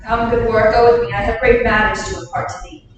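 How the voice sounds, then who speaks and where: A king hands down a harsh sentence, read by a woman in a staged reading. The voice is somewhat high and firm. It sounds distant with a long hall echo and faint hiss. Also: steady tone, quick pace, dull audio, lightly acted. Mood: commanding. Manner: commanding, declamatory. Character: stern male king passing judgment. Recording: echoey hall, distant mic.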